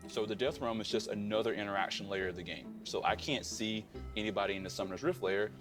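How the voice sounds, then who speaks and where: Low-voiced man in developer interview. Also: fast talker. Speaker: game developer. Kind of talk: documentary interview. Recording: clear music under the voice.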